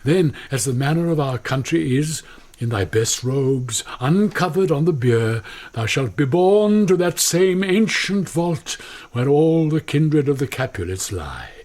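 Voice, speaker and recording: A husky, slow-spoken elderly British friar. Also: male voice, a little high, sing-song, darkish tone. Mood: grave instructing. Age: older. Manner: measured, declamatory. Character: grave elderly friar, fatherly and urgent. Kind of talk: classical Shakespearean radio acting.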